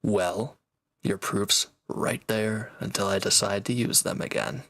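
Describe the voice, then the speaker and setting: A man playing a proud, teasing reformed villain and swordsman in an intimate audio roleplay, talking cockily to one listener in a gravelly voice. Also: lowish voice, measured pace, a bit bright, dry recording, studio quality. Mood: defensive, dry.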